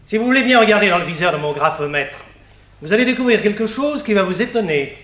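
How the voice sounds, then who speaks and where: A high-voiced, animated, precise expert. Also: male voice, fast talker, roomy sound. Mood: knowing, precise. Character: precise, politely confident male ballistics expert. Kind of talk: French radio mystery play acting.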